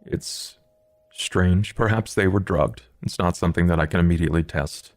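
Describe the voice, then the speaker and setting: A man playing a formal, erudite vampire investigator in a tabletop game, speaking in measured, halting, ornate phrases. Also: deep voice, dry recording, studio quality, close to an everyday voice. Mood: grave, analytical. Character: Duke, formal, erudite male vampire investigator, coolly analytical. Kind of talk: tabletop roleplay in character.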